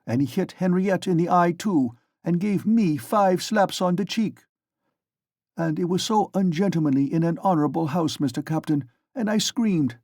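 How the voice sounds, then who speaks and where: A man voicing a flustered, garrulous landlady with a German accent, pattering rapidly as she defends herself. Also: sits high, darkish tone, dry recording, clean studio sound, pitched above the performer's own voice. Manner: rapid pattering, repeated "Mister Captain".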